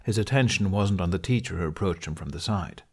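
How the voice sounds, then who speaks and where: A man with a fairly low voice narrates a fantasy audiobook. He reads scripted prose as a storyteller. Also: animated, brisk, slightly rough.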